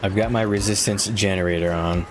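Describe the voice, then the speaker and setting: Low-voiced man grumbling through gameplay. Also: fairly even intonation, brisk, noisy background. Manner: frustrated, swearing, thinking aloud. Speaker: game streamer. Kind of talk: live gameplay commentary.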